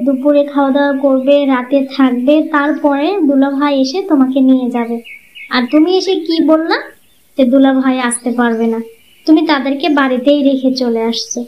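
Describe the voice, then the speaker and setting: Cartoon dub: woman voicing a scheming young wife, sarcastic and sweet, high voice, muffled. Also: moderate pace, natural voice. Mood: pleading. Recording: muffled lo-fi audio.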